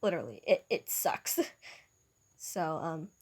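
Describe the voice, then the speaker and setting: A woman's voice: sits low, lively intonation, unhurried, darkish tone. A woman reading a second-person fan story aloud, with frequent stumbles and restarts. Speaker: storyteller and video maker.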